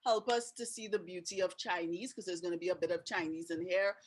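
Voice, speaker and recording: A woman's voice: fairly low pitch, fairly even intonation, a little fast, slight background noise. Chatty Trinidadian woman hosting a webinar. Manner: chatty, laughs often, asks questions. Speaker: webinar host. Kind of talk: presenting a video-call webinar.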